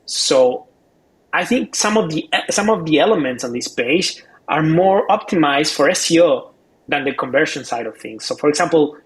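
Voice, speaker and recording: A man's voice: fairly high pitch, expressive, moderate pace. A man giving casual expert commentary as a co-host, explaining step by step and often repeating words as he goes.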